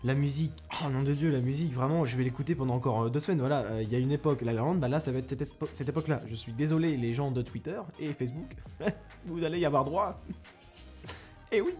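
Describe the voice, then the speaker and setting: Young man, casual film reviewer, mid-pitched, rambling, self-deprecating, close mic, light music underneath. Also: fast, a little mellow. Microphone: close microphone.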